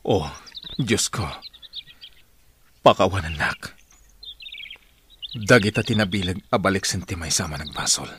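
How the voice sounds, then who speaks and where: An actor with an Ilocano Filipino accent playing an earnest, emotional friend who pleads with a fugitive in a radio drama. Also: male voice, low-pitched, expressive, unhurried, long pauses, fairly bright tone, a little hiss or hum. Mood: earnest pleading. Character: earnest male friend urging a fugitive to surrender and repent. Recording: old AM radio drama, music underneath. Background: dramatic music.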